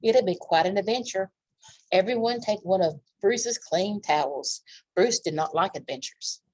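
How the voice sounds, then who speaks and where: A woman with a husky voice reading a picture book aloud to young children, pausing for simple asides about the pictures. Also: medium pitch, a little mellow, no room sound, rough recording. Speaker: children's library storytime reader.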